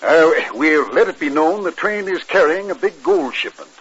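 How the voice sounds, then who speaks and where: Old West railroad president, high husky voice, earnest, businesslike, muffled old radio. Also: male voice, expressive, normal speed, fairly bright tone. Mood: earnest, planning. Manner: businesslike, explanatory. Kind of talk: 1950s radio drama acting.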